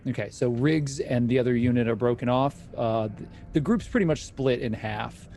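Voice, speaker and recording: A man acting as game master, narrating a tabletop horror game in a measured, descriptive way and asking players what they do. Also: medium pitch, warm tone, a little hiss or hum. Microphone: streaming mic.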